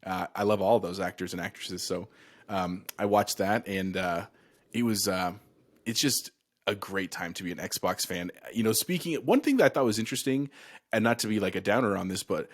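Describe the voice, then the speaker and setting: A man's voice: on the low side, moderate pace. A man co-hosting a casual gaming podcast, talking in a conversational, rambling way. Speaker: podcast co-host.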